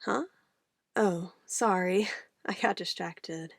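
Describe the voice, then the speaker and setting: A woman's voice: lowish voice, slow, creaky, dry recording, clean studio sound, heavily acted. A woman playing an obsessive, possessive witch in an ASMR roleplay, sweetly menacing and cooing in an intimate, theatrical way. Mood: playful, giddy. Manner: intimate, cooing, theatrical, shifting between soft whispers and firm commands.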